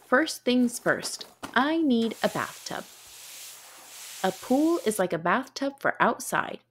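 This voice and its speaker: A woman voicing a busy, excitable little girl in a storybook, dramatic and exclamatory, stretching out her words. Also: a little high, takes it slowly, slight background noise. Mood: excited, dramatic.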